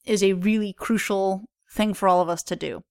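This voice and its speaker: A woman's voice: mid-range voice, steady tone, normal speed, creaky, darkish tone, dry recording, clean studio sound. A woman co-hosting a podcast, speaking earnestly and sincerely as she thanks listeners. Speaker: podcast co-host. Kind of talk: casual chat.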